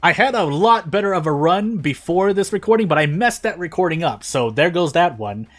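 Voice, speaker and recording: A man's voice: on the high side, lively intonation, fairly quick. A man doing casual gaming video narration and commentary in a rambling, self-deprecating way. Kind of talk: casual gameplay commentary and fanfic reading.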